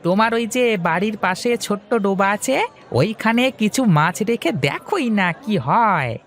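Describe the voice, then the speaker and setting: A man's voice: sits high, lively intonation, moderate pace, a bit bright, some chatter behind, pitched above the performer's own voice. A man voicing an eager, chatty village fish hawker in a cartoon, with a coaxing, sing-song manner.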